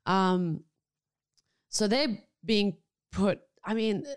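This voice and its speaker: A woman's voice: mid-range voice, drawn-out words, smooth, dry recording, studio quality. A woman from Lebanon with a Lebanese Australian accent and Arabic as her first language, talking candidly and expressively as an interview guest, often breaking off and restarting. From Lebanon, moved to Australia at thirteen. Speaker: guest, actor.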